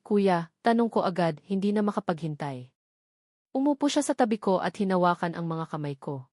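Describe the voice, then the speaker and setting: Female storyteller, medium pitch, emotive scripted narration, sentimental love drama, dry recording. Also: no echo.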